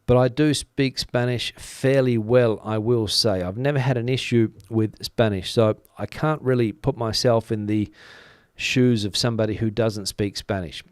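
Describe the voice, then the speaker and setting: A man's voice: mid-range voice, steady tone, normal speed, clean tone, no echo, studio quality. A middle-aged man, a native English speaker, hosting a casual live-stream news chat in a chatty, rambling way with many filler sounds. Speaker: news channel host. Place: home studio in front of a backdrop picture.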